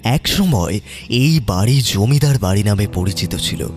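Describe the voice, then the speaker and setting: A man narrating a scripted horror story in a slow, measured way. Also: lowish voice, expressive, a little mellow. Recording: narration over background music.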